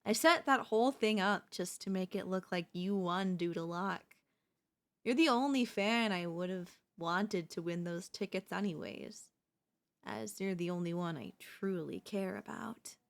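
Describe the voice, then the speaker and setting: A woman playing an obsessive female pop idol turned kidnapper in an ASMR roleplay, speaking in a soft, coaxing, doting way with menace underneath. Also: medium pitch, a little slow, gravelly. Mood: soothing, possessive.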